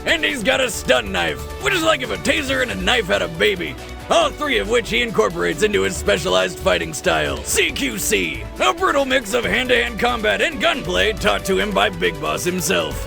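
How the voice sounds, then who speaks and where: A man's voice: high voice, expressive, normal speed, vocal fry, music in the background. A man co-hosting a game analysis show, delivering hyped, joking scripted narration in a brash, punchy way.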